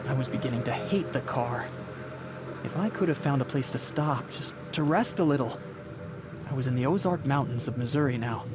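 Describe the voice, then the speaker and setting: A man's voice: medium pitch, moderate pace, music in the background, close to an everyday voice. An actor playing a haunted, increasingly frantic driver in a radio play, brooding as he narrates and stammering when agitated. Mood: brooding, uneasy. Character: haunted, increasingly frantic man driver recounting his cross-country journey. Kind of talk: dramatic first-person radio play narration and acting. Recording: loud background noise with vehicle ambience.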